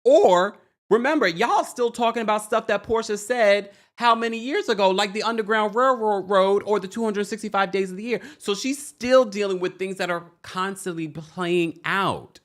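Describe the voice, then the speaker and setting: A man's voice: high voice, normal speed, a bit bright. A man hosting a reality TV recap livestream, talking casually in a playful, teasing, exclamatory way and repeating phrases for emphasis. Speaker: host of a reality TV recap show. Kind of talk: casual gossipy livestream commentary.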